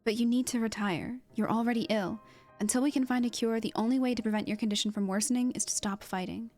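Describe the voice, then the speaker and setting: A woman voicing a concerned game character, reading grave dialogue in a measured, serious and sympathetic manner. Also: on the high side, steady tone, a little mellow, soft background music, natural voice.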